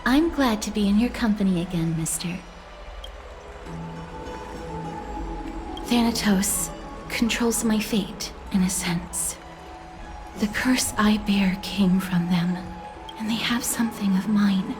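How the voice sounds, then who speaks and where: A woman playing a gentle, melancholy young noblewoman in a video game, speaking softly in a measured, formal way. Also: medium pitch, over music, lightly acted. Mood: solemn, wistful. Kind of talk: voiced game dialogue.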